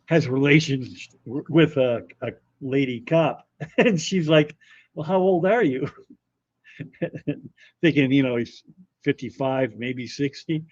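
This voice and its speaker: A man's voice: mid-range voice, a little mellow, dry recording. Dull-sounding man chatting on a livestream. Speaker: livestream host reading viewer comments. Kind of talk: casual livestream chat. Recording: dull, slightly muffled audio.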